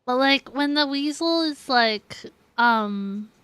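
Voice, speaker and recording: A woman's voice: high-pitched, slow pace, a bit bright, clear, resonant tone, no room sound. A woman game streamer chatting casually while she plays. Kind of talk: casual live gameplay chat.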